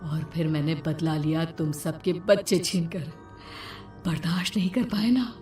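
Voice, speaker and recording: Vengeful cartoon witch, child-snatcher, menacing, unhurried delivery over music. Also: deep voice, steady tone, darkish tone, recorded from a distance. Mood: bitter, vengeful. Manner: menacing, drawn-out gloating with cackles. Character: vengeful ghost witch woman luring village children. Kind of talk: children's animated horror story acting.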